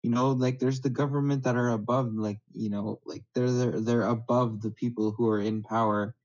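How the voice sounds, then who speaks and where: A man's voice: medium pitch, steady tone, moderate pace, darkish tone. A man with a Canadian accent chatting casually, rambling and hesitant, often playing himself down. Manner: rambling, hesitant, self-deprecating. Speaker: guest. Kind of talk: casual online chat. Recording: slightly dull lo-fi audio.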